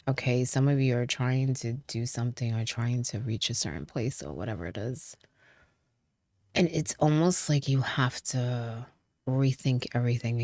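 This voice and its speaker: Very deep-voiced woman, stuffy from a cold. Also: no room sound, studio quality. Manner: chatty, laughs often, many fillers and trailing pauses. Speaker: tarot reader. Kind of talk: casual tarot reading to camera.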